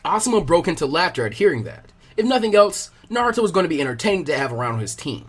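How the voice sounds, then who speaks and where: A man's voice: fairly high pitch, big pitch swings, fast talker, fairly bright tone. A man reading fan fiction aloud as scripted story narration.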